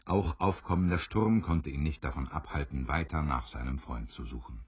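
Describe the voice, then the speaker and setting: A man narrating an adventure radio play as an unhurried, knowing storyteller. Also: extremely low pitch, a little mellow, slightly rough, dry recording, muffled recording. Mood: suspenseful.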